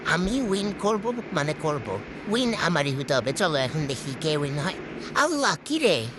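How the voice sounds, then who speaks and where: Female gaming host, Bangladeshi accent, slightly low voice run through a voice changer, chatty and exclamatory, clear background noise. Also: sing-song, normal speed. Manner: chatty, exclamatory, self-talking, repeats words. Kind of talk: casual gameplay commentary.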